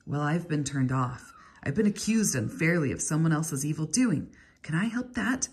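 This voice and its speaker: A woman's voice: deep voice, animated, moderate pace, a little mellow, husky, full character voice. A woman voicing a whining, obsequious older male Babylonian servant in a storybook reading. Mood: wheedling. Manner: wheedling, obsequious.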